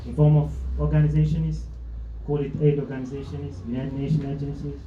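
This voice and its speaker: A man speaking earnestly at a community event, with plenty of hesitant pauses. His voice sits at a middle pitch. The room is reverberant and the sound is a little dull and lo-fi. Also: level tone, slight background noise. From Somalia. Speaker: panel speaker, humanitarian activist. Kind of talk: panel talk. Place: event hall with audience. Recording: echoey hall, dull lo-fi.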